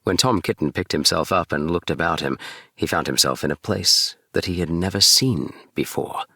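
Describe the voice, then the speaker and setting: Deep, creaky-voiced man reading a bedtime story. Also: moderate pace, sharp tone, dry recording, clean studio sound. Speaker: storybook narrator. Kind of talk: bedtime audiobook narration. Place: small DIY recording booth.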